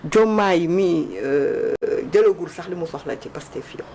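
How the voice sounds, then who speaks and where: A woman with a Senegalese accent giving political commentary in an animated discussion, emphatic and repeating points for stress. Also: on the low side, measured pace, slight background noise. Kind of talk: animated political discussion mixing two languages.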